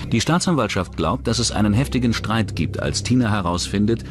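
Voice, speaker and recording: A man with a deep voice narrates a true-crime documentary. He reads from a script in the role of the storyteller, and music runs clearly behind his words. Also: expressive, fairly quick. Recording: music under the voice. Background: background music.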